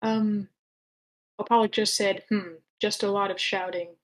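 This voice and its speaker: A woman with a mid-pitched voice hosts an online meeting. She moderates in a casual, conversational style through her meeting mic. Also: steady tone, a little slow. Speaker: host moderating feedback session. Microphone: online meeting microphone.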